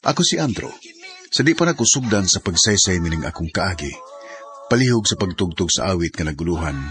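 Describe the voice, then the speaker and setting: A man's voice: low-pitched, sing-song, brisk, fairly bright tone. An actor with a Cebuano accent playing a man earnestly introducing his life story in scripted radio drama. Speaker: letter-sender narrator. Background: prominent music.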